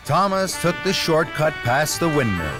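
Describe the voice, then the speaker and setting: A man's voice: a little high, sing-song, normal speed, music in the background. A man narrating a scripted children's story as the storyteller.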